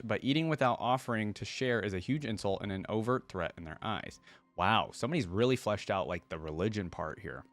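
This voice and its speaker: A man's voice: lowish voice, dry recording. A man hosting a YouTube reaction, reading aloud and adding informal, conversational asides in a casual style.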